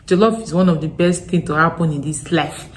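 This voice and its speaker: A young woman from Nigeria's Delta with a strong Deltan accent, giving frank, animated advice to camera in a casual vlog. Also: sits low, a little fast. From Nigeria, Delta State. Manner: frank, animated, laughing asides. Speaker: vlogger. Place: home in Accra.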